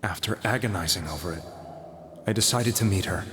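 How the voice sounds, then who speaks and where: Man voicing a haunted, low-voiced confessing man. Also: noisy background, natural voice. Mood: troubled, earnest. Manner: grave, halting, haunted storytelling. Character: despondent, guilt-ridden man recounting his haunted story. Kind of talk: dramatic video game voice acting, confessional monologue. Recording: background music under the voice.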